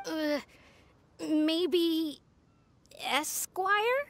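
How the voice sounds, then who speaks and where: A woman's voice: extremely high pitch, animated, drawn-out words, fairly bright tone, exaggerated voice. A woman voicing an earnest young child grandmaster in a video game, formal, precise and matter-of-fact. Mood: helpful. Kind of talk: video game character acting.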